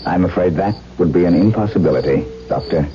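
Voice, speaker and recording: An actor playing an earnest young gentleman suitor in a 1970s radio drama, formal, measured and romantic. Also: deep voice, natural voice. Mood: courteous, grave. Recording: muffled, noisy 1970s radio broadcast.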